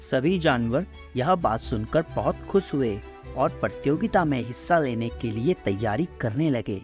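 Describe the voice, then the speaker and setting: A man's voice: medium pitch, lively intonation, measured pace, music in the background. A man narrating a scripted children's story as the storyteller.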